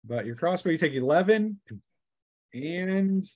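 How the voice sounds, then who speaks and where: A man's voice: sits high, animated, unhurried, a little mellow. A man narrating a tabletop role-playing game as game master in a casual, conversational way.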